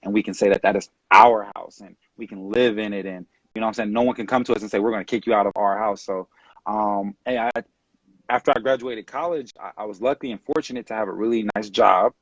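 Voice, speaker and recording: A man in his late twenties from California, talking casually as an interview guest on a video call, relaxed and rambling with many fillers. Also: on the low side, fast, slightly rough, dry recording. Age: late 20s. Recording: dull dry video-call audio.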